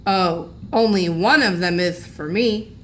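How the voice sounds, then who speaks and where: A woman's voice: fairly low pitch, a little slow, a little hiss or hum, natural voice. Woman reading as a toothless old grandpa, mumbling. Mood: pleased. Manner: mumbling, moaning.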